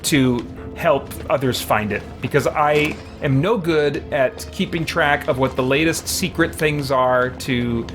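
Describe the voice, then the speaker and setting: A man's voice: mid-range voice, measured pace, few pauses. A man chatting casually with viewers as he plays a game on a livestream, rambling in a playful, self-deprecating way. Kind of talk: casual gameplay chat with viewers. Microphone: streaming setup, headphones on. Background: game music.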